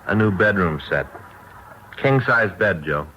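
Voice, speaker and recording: An actor playing a chatty, grumbling married American police detective in a naturalistic 1950s radio drama, rambling along in a folksy way. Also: male voice, deep voice, animated, unhurried, bright, forceful tone, highs cut off, heavily acted. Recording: noisy old radio recording.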